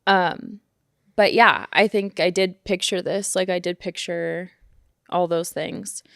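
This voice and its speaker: A woman's voice: lowish voice, takes it slowly, creaky, dry recording, studio quality. Chatty thirty-year-old woman podcaster. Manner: chatty, animated, laughs often and says "like". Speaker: podcast co-host. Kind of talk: casual chat.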